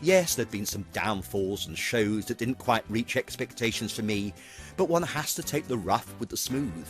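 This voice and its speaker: A middle-aged British man speaks in a fairly low voice that moves up and down expressively. He delivers a scripted, reflective narration as a seasoned stage performer, with light music underneath. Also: animated, moderate pace. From United Kingdom. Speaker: veteran pantomime performer and presenter.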